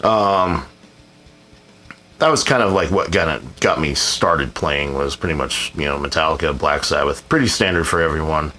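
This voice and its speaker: A man's voice: extremely low pitch, moderate pace, pushed and bright. A man answering viewer questions in a casual, rambling chat, laid-back and frequently hedging, now and then blunt and profane.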